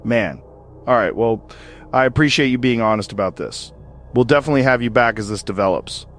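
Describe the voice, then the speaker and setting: A man's voice: mid-range voice, a little fast, soft background music, lightly acted. A man playing an alarmed radio news host in an audio drama, interviewing in an earnest, unsettled way.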